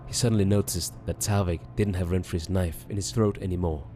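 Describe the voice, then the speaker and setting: A man's voice: deep voice, fairly even intonation, brisk, mellow. A man reading scripted fantasy story narration, with music behind him. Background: background music.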